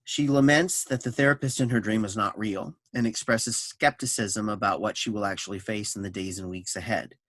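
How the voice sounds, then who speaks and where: A man's voice: on the low side, brisk, few pauses, fairly bright tone, no echo. Man reading stage directions, dry close sound. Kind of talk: scripted narration for a staged play reading.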